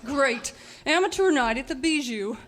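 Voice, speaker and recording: High-pitched, snappish starlet. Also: female voice, expressive, normal speed, a bit bright. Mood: resigned, sarcastic. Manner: snappish, sarcastic. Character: exasperated, haughty Hollywood starlet, adult woman. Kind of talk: parody of old radio serial acting.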